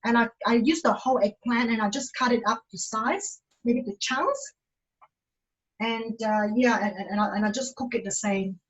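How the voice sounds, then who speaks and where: A woman from Malaysia, giving a casual cooking demonstration in a halting way, full of fillers and restarts. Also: on the high side, poor audio.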